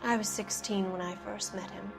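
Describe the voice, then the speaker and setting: An actress playing a cynical, guarded producer's wife in a 1940s noir video game, answering in a cool, bitter, evasive way. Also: female voice, mid-range voice, steady tone, moderate pace, vocal fry, over music, lightly acted.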